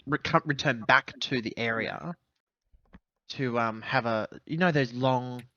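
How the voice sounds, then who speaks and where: A man with a bright, mid-pitched voice takes part in a tabletop game. He describes his character in halting phrases, often repeating himself. The recording is close but slightly dull. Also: no echo. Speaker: player in a tabletop roleplaying game. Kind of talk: casual in-character play and description.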